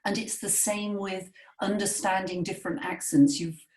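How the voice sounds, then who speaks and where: A woman's voice: medium pitch, steady tone, moderate pace, darkish tone, roomy sound. A woman who is a language coach, chatting casually and emphatically, often adding "you know" and "right?". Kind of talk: casual podcast chat.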